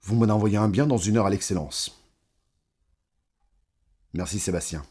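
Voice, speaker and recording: Audio drama villain: male actor as an ageing corrupt boss, deep-pitched, falsely polite, condescending and cold. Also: a little mellow, a little hiss or hum, lightly acted. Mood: polite, businesslike. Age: older. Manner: measured, sardonic, condescending. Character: older corrupt mayor and crime boss, coldly menacing, falsely courteous.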